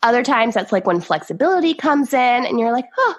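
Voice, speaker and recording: A bubbly woman hosting her podcast, her slightly high voice a little nasal from sinus congestion. She gushes with praise and laughs easily. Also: sing-song, a little fast. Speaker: podcast host. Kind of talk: casual interview chat.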